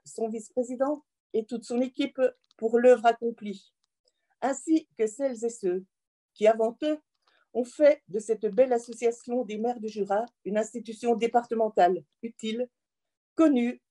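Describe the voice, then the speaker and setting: A woman politician reads a formal address. Her voice sits fairly high yet is very soft and mellow in tone, and her delivery is measured and earnest. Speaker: member of parliament addressing local mayors. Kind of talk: formal prepared speech.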